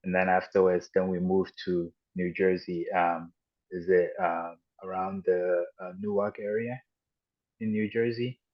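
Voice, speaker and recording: A man raised in Ghana and the United States, a podcast guest recounting his childhood in a halting, reflective way with frequent restarts. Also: low voice, flat delivery. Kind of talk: personal storytelling in an interview. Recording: dull lo-fi audio.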